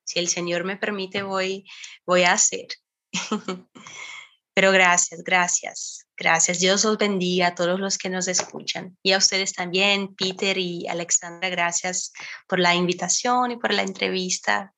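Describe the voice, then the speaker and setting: A woman's voice: lowish voice, flat delivery, measured pace, bright, forceful tone. A woman guest singer speaking Spanish as her second language, chatting gratefully and laughing often in an interview. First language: not Spanish. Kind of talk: casual interview chat.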